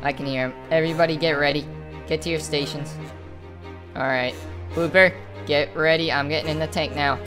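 A man's voice: fairly high pitch, steady tone, fairly quick, pauses often, music in the background, natural voice. Man playing an informal, commanding kraken villain dad. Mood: commanding, protective. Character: villainous kraken father commanding minions, fiercely protective of his baby. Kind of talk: improvised Minecraft roleplay.

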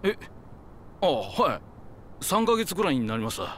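A man's voice: fairly high pitch, big pitch swings, unhurried, a bit bright, slight background noise. An actor playing a young male rookie gangster, hot-headed but nervous and hesitant, in a video game cutscene. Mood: nervous, deferential. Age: young adult. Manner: hesitant, stammering, deferential.

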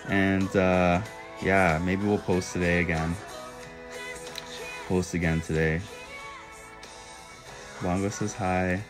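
A young man talks easily and playfully to viewers of his phone livestream, answering comments one by one. His voice sits a little low for a man. It is close to the phone's mic in a small room, with music playing faintly in the background. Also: flat delivery, slow, pauses often. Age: young adult. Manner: playful, bursts into high owl hoots. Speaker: livestream host. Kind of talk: casual livestream chat reading comments. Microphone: phone held close.

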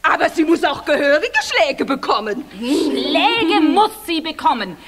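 A woman's voice: sits high, big pitch swings, big-room sound, full character voice. A woman playing a gleefully malicious witch in a children's radio play, cackling and drawing out her words. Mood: gleefully cruel. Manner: drawn-out, cackling. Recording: loud noisy clamour behind.

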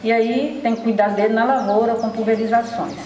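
A middle-aged woman giving an informal hands-on demonstration in a bright indoor room, talking straight to viewers. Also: on the high side, fairly even intonation, fairly quick. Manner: informal, addresses viewers directly with fillers. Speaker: coffee processing instructor. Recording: roomy, from a distance, music under.

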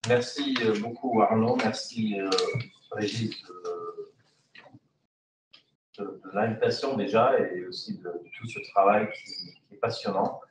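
A man in his forties, a native German speaker and professor of political science, giving a measured, hesitant talk at a round table. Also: lowish voice. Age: 40s. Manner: measured, hesitant with frequent "euh". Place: meeting room with tables in a U shape. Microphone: far room camera. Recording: echoey room, distant mic, noisy.

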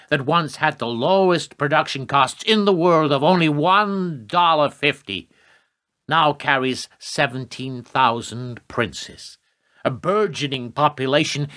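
Man playing a forceful, ruthless presidential war adviser. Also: mid-range voice. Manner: rhetorical, emphatic speechifying, curt replies. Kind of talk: radio play acting.

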